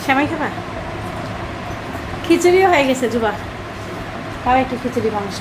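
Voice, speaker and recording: High-pitched chatty Bangladeshi woman. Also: lively intonation, normal speed. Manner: chatty, coaxing, asks many questions. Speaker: family vlogger, host. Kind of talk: casual home vlog chat with relatives and children. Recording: clattering household noise behind the voice.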